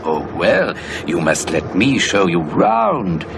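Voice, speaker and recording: Slow, low-voiced suave gentleman. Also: wildly swooping pitch, gravelly, full character voice. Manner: suave, old-fashioned courtesy. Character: courtly, flattering older gentleman charming a woman. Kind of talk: radio horror drama acting. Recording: loud noisy background rumble.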